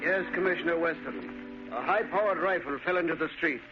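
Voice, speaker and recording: A man's voice: on the high side, brisk, loud music behind the voice, lightly acted. An actor playing a matter-of-fact police officer reporting to his superior in a 1930s radio drama, clipped and factual. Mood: businesslike. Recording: muffled vintage radio recording.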